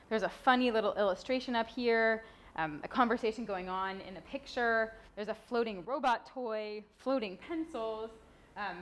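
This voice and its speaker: A woman giving an informal, expressive and enthusiastic explanation as a book publishing expert presenting a picture book. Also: mid-range voice, a little hiss or hum. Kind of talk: informal explanatory talk and storybook reading aloud.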